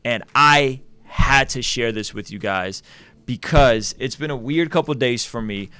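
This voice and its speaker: A man's voice: medium pitch, unhurried, clear, resonant tone, no echo. Guy hosting a live stream, fired up, keeps repeating himself, dry close sound. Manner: energetic, repeats words and restarts. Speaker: host.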